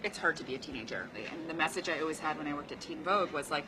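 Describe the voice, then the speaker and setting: A woman's voice: low voice, rapid-fire, background noise. A woman in her thirties, whose first language was Chinese, chatting upbeat in an interview on location. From United States. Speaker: interview guest. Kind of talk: casual interview chat. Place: car, office and rooftop, on location. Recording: music bed under the talk.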